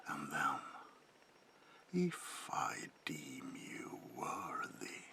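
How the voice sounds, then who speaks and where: A man playing a predatory, courtly vampire baron in a whispered ASMR roleplay, slow and theatrical, with a breathy gravelly whisper and softly menacing politeness. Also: rumbling low, exaggerated voice.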